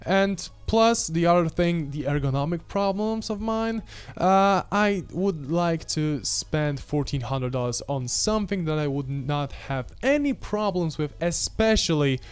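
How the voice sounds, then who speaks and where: A young man, reviewing a gadget to camera in a casual, animated, self-mocking way, holding a handheld mic in a small room at home. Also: a little high, unhurried, smooth. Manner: chatty, animated, self-mocking, gestures while talking. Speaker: tech reviewer. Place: small home room with audio gear, indoors.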